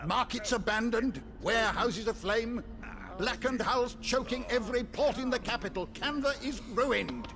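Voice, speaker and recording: An actor playing an alarmed councillor in a fantasy game, lamenting emphatically in drawn-out rhetorical phrases. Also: male voice, very high-pitched, over music. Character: alarmed male councillor lamenting commercial ruin. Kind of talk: dramatic fantasy game acting.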